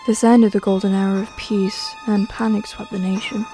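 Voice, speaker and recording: A woman's voice: mid-range voice, flat delivery, normal speed, mellow. A woman narrating a scripted fantasy trailer in a solemn, dramatic storytelling manner. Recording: music behind the voice. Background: music.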